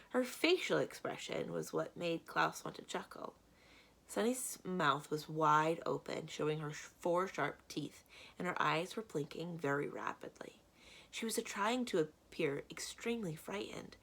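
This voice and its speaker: A woman reading a children's book aloud as a library storyteller, with expressive narration. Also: low-pitched, gravelly.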